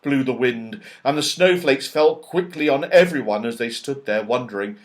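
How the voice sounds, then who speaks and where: A man's voice: mid-range voice, expressive, moderate pace. A man narrating a children's story expressively, with dramatic and emphatic phrasing. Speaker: audiobook narrator.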